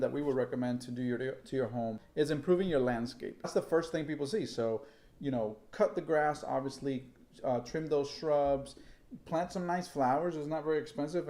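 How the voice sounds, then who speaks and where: A man in his thirties explains practical tips in a steady, even-handed way while seated at a table. His voice is a plain middle pitch for a man. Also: brisk. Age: 30s. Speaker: real estate agent, co-host. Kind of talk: casual advice chat. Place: indoor room set, seated at a table.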